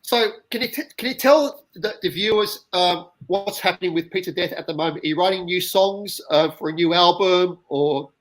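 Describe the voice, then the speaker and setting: Man, from the UK, interviewer, slightly high voice, halting, stammering delivery. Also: poor audio. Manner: halting, stammering, many restarts. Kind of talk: casual interview.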